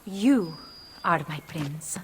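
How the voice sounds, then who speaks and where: An actress playing a gentle, loving wife in a video game, soft and tender. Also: female voice, deep voice, animated, drawn-out words, in a large hall, a little hiss or hum, heavily acted. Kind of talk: video game cutscene acting.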